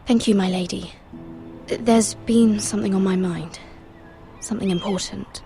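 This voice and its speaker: An actress plays a young highborn handmaiden with a medium-pitched voice. She is earnest and deferential, choosing her words carefully as if pleading with her betters. It is fantasy game drama, with faint music behind. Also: fairly even intonation, normal speed, vocal fry, mellow, natural voice. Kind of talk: cinematic video game voice acting.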